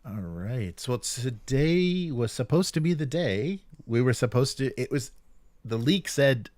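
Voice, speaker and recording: A man's voice: mid-range voice, lively intonation, unhurried, a little mellow, dry recording. A man co-hosting a livestream in a casual chat about tabletop gaming. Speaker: livestream co-host.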